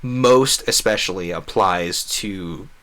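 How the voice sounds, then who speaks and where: A man's voice: low voice, slow pace, pushed and bright. A man hosting a gaming livestream, rambling casually and earnestly, giving opinions and acting out imagined quotes. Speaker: livestream host.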